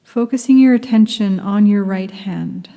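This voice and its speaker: A woman guiding a yoga nidra meditation, speaking softly and soothingly, sometimes dropping into a half-whisper. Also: mid-range voice, long reverb tail. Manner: soft, slow, soothing, half-whispered at times. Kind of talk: guided meditation.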